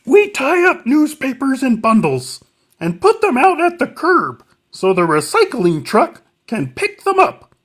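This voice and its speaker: A man voicing an excitable furry little monster puppet, reading a children's picture book in a strained, throaty voice. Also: very high-pitched, husky, pitched above the performer's own voice, heavily acted. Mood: happy, earnest. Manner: emphatic, swooping, deliberate reading to small children.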